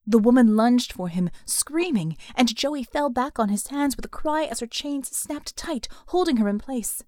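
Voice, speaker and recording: Female audiobook narrator, higher register, scripted fiction, very dry recording. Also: expressive, moderate pace, mellow, no echo.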